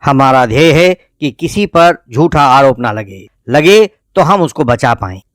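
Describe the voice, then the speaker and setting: A man with an Indian accent reads a scripted promotional narration in formal, measured phrases. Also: medium pitch, animated, dry recording, clean studio sound. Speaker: channel host.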